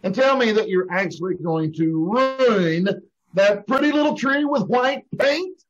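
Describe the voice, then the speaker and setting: A man performs a florid neighbor from an old radio comedy. His high voice declaims theatrically at a slowish pace. The sound is slightly dull and lo-fi, as on a video call. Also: expressive. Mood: theatrical indignation. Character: melodramatic, orating neighbor, indignant and florid. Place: indoors on a live Zoom call.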